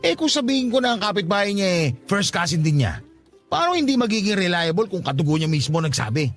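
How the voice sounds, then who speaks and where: A man's voice: high-pitched, big pitch swings, quick pace. A man playing a gossipy young office worker in a radio drama, talking in a sly, conspiratorial way over a music bed. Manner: conspiratorial, teasing.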